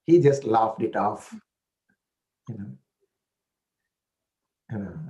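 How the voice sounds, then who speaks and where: A man's voice: on the low side, slow pace, warm tone, muffled recording. A man with an Indian accent who speaks an Indian language natively, telling stories in a casual talk and often checking in with "right?" and "you know".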